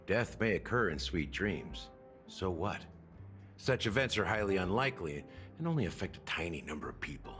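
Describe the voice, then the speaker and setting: An actor playing a suave, sly investigating officer in a video game, theatrical and knowing, fond of insinuating questions. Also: male voice, low-pitched, very animated, normal speed, a bit bright, faint music behind. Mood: knowing, probing. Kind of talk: video game voice acting, expository dialogue.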